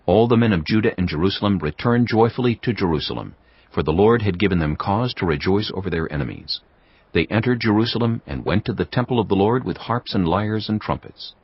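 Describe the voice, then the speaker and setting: A man with a very deep voice reads scripture in a measured, solemn way. His delivery moves lively up and down in pitch. Soft music sits under the slightly dull, lo-fi sound. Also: slightly rough, dry recording. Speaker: narrator of an audio Bible. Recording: dull lo-fi audio with faint music.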